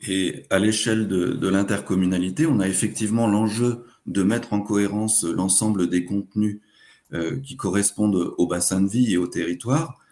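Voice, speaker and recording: A man presenting a webinar, explaining examples in a hesitant, halting way. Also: fairly low pitch, fairly even intonation, darkish tone.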